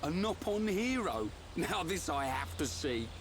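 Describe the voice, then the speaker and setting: An actor playing a brash, loyal young fighter in a video game, blunt and cocky. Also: male voice, on the high side, big pitch swings, unhurried, slight background noise. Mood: incredulous, amused.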